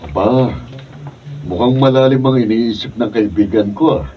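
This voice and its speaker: A man's voice: fairly low pitch, normal speed, close to an everyday voice. A man playing an encouraging, straight-talking friend in a Filipino radio drama. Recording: muffled, distant mic, faint noise.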